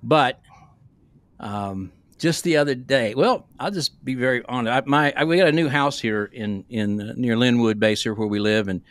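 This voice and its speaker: Folksy older pastor, dry close-miked sound. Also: male voice, mid-range voice, normal speed, no room sound. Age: 60s. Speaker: pastor and podcast co-host. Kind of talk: casual conversational podcast discussion. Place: small room, remote video call. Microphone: close podcast microphone.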